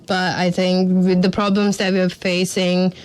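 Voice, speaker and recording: A woman's voice: lowish voice, level tone, unhurried, a little mellow, smooth. A woman, explaining her research steadily as a marine biologist guest on the radio. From Sri Lanka. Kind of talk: radio interview answers. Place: radio studio.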